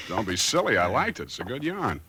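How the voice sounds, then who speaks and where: Breezy skeptic Sam. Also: male voice, on the low side, huge pitch swings, a little fast, noisy background. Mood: teasing. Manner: breezy, teasing, dismissive. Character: skeptical, easygoing male friend Sam. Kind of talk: 1940s radio acting. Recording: old 1940s radio broadcast.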